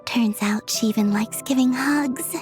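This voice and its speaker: A woman plays a gentle, dreamy young woman from a video game, soft and earnest, often close to a whisper. Her voice is high and slow, with faint game music underneath. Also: natural voice. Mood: tender.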